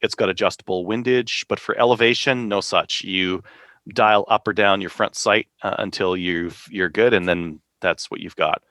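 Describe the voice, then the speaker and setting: A man speaks in a low register with plenty of vocal fry. He talks as a podcast co-host in a casual, slangy, conversational way. The sound is dry, with no room echo. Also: fairly even intonation, normal speed, a bit bright. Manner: informal, conversational, uses slang. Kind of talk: casual hobbyist chat.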